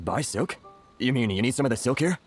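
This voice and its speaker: A man playing a timid, quick-talking older merchant. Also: mid-range voice, expressive, natural voice. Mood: relieved. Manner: stammering, polite. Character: timid, earnest older male merchant, easily flustered. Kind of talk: video game voice acting. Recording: music behind the voice.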